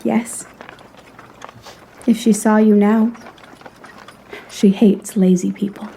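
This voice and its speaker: A woman's voice: mid-range voice, flat delivery, takes it slowly, creaky, warm tone, slight background noise. A woman playing a proud, frank young lady in a period audio drama, speaking in a refined, articulate and earnestly reproachful way. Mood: gentle, earnest.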